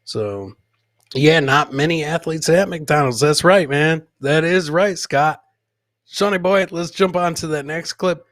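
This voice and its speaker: An American man hosting a comedy podcast, loudly commenting on funny clips in a boisterous way, swearing freely and throwing out catchphrases. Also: a little high, unhurried, no echo, studio quality.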